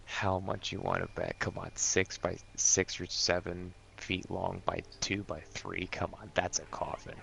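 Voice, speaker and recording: A man's voice: deep voice, level tone, unhurried, vocal fry, hardly any pauses, fairly bright tone. A man playing a tabletop role-playing game, chatting casually about his rolls, with room noise around him.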